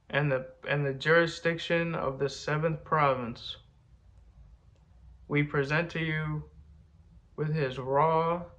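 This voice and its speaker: Guy doing a loud high pompous herald dude, stretches every word out, kinda dull lo-fi sound. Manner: grand, drawn-out announcing. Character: pompous male royal herald reading a proclamation.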